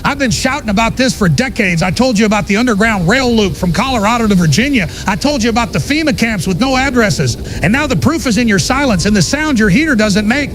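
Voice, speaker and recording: A high-voiced, paranoid conspiracy broadcaster acted by a man delivers an apocalyptic monologue for an audio drama. He is defiant and accusatory, pressing every point with rhetorical force over a faint rumbling noise. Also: fairly bright tone.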